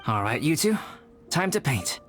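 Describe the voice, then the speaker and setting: A man playing a calm, composed young scholar and painter in an anime video game. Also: fairly high pitch, normal speed, faint music behind.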